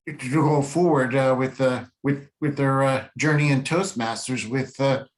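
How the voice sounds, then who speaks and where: A man's voice: medium pitch, fairly even intonation, measured pace. Hesitant man full of fillers. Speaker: club member. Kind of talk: asking a question in a virtual meeting. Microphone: video call.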